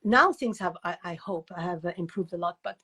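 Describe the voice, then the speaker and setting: An older woman speaks as an interview guest at a middle pitch. She tells stories in an animated way, wandering into asides and repeating words for emphasis. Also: moderate pace, breathy edge. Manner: animated storyteller, digressive, emphatic repetition. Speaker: guest author and educator. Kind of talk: conversational interview answers.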